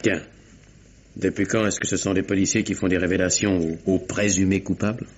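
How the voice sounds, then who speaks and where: Very deep-voiced, despairing murder suspect. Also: male voice, steady tone, fairly quick, highs cut off. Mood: bitter, resigned. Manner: bitter, faltering, fatalistic. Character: weary, despairing male murder suspect, bitter and emotional. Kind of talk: French 1950s-style radio mystery acting. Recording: slightly noisy old radio recording.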